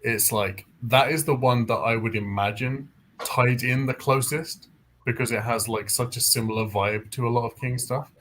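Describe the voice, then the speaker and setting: A man's voice: mid-range voice, steady tone, normal speed, a bit bright. Man chatting about books over background noise. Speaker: book readalong host. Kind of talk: casual book discussion chat. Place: indoors, online group call.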